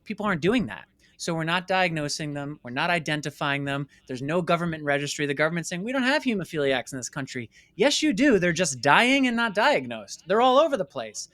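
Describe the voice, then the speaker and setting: A man in his late thirties from New York City, an interview guest who talks in an emphatic, animated storytelling way and voices imagined dialogue. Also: a little high, brisk, few pauses, no room sound. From New York City, United States. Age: late 30s. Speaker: interview guest, filmmaker and company founder.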